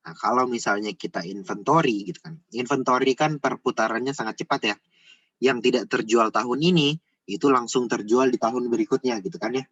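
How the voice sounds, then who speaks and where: A man's voice: mid-range voice, a little fast, a bit bright, breathy edge, dry recording, highs cut off. A man giving an informal step-by-step tutorial, repeating himself and often adding small checking tags. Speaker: accounting tutor.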